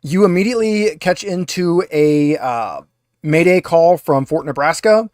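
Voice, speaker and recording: A man's voice: fairly high pitch, expressive, measured pace, a little mellow, no echo, clean studio sound. A man acting as game master, narrating a tabletop roleplaying game.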